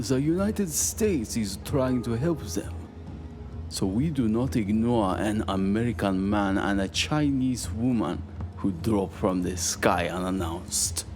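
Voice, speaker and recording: A menacing Japanese commander in a wartime audio drama. He speaks very slowly and formally in a low voice, coolly threatening, with music underneath. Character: menacing, suspicious Japanese naval commander, coldly polite.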